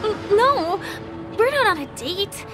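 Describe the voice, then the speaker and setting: A woman's voice: very high voice, very animated, takes it slowly, music in the background, exaggerated voice. A woman playing a polite, earnest and curious young woman in a video game. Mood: flustered. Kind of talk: video game dialogue acting.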